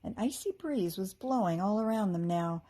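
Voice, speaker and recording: An American woman narrates a children's storybook in a teacherly, expressive way. Her voice has a slightly breathy, husky edge at a middle pitch. She is animated and acts out the characters. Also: normal speed. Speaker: teacher reading a children's book aloud.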